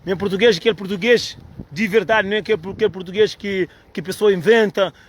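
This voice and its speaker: A man's voice: sits high, steady tone, quick pace, slight background noise. A man from Cape Verde vlogging outdoors in the cold, rambling and repeating himself in a playful way.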